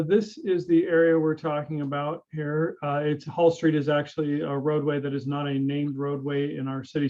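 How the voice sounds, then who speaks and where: A public works director, a man with a slightly raised pitch, walks a city council through a report in a measured way. He pauses often and fills gaps with "uh" and "um". The recording is a bit dull and lo-fi. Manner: measured, hesitant with frequent fillers. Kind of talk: presenting a staff report at a council meeting.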